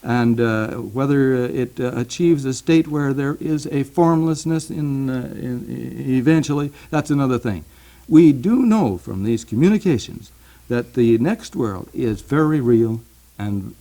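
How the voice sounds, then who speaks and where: A man speaking as a guest in a thoughtful radio discussion, hesitant and halting as he works through ideas. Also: medium pitch, lively intonation, dark tone. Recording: 1950s archival radio recording.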